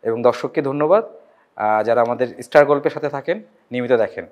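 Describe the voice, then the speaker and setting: A man's voice: mid-range voice, a little fast, dull audio. A man with a Bangladeshi accent hosting a casual celebrity interview in an informal, familiar way. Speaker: talk show host. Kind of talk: casual celebrity interview with rapid-fire questions.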